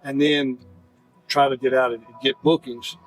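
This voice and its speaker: A man's voice: medium pitch, little pitch movement, normal speed, soft background music, poor audio. A man who leads a band and sings lead, answering interview questions in an easygoing way full of "you know". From northern Alabama. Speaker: band leader and lead singer.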